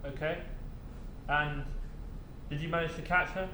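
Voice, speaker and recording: An actor playing a polite, careful official asking probing questions in a stage play. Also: male voice, fairly high pitch, steady tone, unhurried, pushed and bright. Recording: echoey stage, clear background noise.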